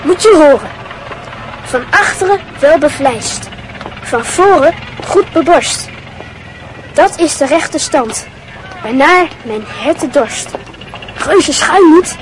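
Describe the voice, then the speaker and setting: A woman's voice: high-pitched, lively intonation, slow, long pauses, a little hiss or hum, rough recording. A woman playing a brash, cheeky schoolboy in a radio play, talking crudely and boastfully. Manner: cocky, crude, laughing.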